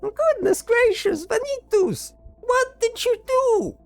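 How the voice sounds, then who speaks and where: A very shrill, distressed magical creature. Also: female voice, huge pitch swings, takes it slowly, gravelly, a little mellow, no echo, soft background music, exaggerated voice. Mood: shocked. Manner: pleading, stammering. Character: distressed magical flying creature with jaws and flame. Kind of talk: fantasy audio drama acting.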